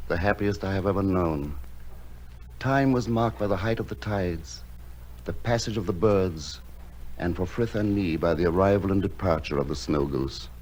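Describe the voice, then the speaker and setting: A male actor as a lonely, melancholy painter with a low, gentle voice over dramatic music. He speaks with earnest, literary longing, as in an old radio play. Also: lightly acted. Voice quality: deep, gentle. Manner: earnest, wistful literary delivery. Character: gentle lonely hunchbacked painter, a melancholy recluse who loves birds. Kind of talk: old-time radio drama acting and narration.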